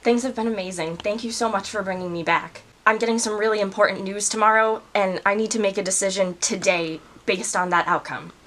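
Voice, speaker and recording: A woman's voice: mid-range voice, steady tone, a little fast, few pauses, a bit bright, natural voice. A woman playing a grateful, polite visitor in a naturalistic screen drama, speaking earnestly.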